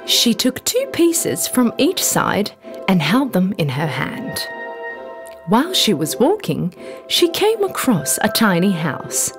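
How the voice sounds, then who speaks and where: A woman reading a scripted bedtime story for children in an expressive, deliberate storytelling manner. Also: mid-range voice. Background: background music.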